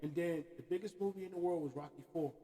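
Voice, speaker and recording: High-voiced man ranting in an echoing hall. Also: fast, a crowd in the background. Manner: animated, profane, repeats "you know what I'm saying". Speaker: podcast host. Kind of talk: opinionated casual rant. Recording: hall-like reverb.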